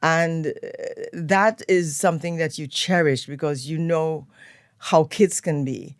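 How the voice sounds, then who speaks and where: An older woman with a Trinidadian accent, a guest telling stories of her upbringing in a relaxed, unhurried way. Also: low-pitched, no room sound, clean studio sound. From Trinidad and Tobago. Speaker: guest, event planner. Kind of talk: relaxed storytelling interview. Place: radio studio.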